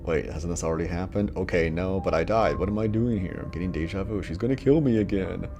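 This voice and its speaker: A man's voice: low voice, fast talker, over music. A man giving casual, crude commentary while playing a game, rambling with lots of fillers. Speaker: let's play streamer.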